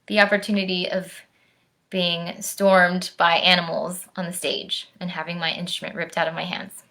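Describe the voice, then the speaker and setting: An American woman reading aloud and chatting to young children in an expressive storytime manner. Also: mid-range voice. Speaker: orchestral musician, guest storytime reader.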